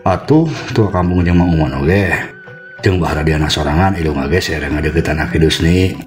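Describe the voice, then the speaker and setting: A man with a Sundanese accent telling a folk tale as an expressive, unhurried storyteller. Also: a bass voice, soft background music. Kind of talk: dramatic folk tale narration.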